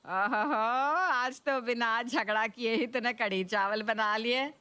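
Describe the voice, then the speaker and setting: A woman voicing an older, food-loving Indian mother-in-law who coaxes and exclaims with drawn-out words in a cartoon story. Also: a little high, steady tone, sharp tone, clean tone, dry recording. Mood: delighted. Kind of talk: Hindi cartoon story voice acting.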